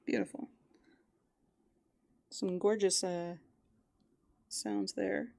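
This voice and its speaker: A woman doing improvised ASMR roleplay, softly and playfully musing aloud, slipping into breathy whispers close to the microphone. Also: medium pitch, steady tone, very slow, very noisy. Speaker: ASMR performer in a raccoon avatar persona.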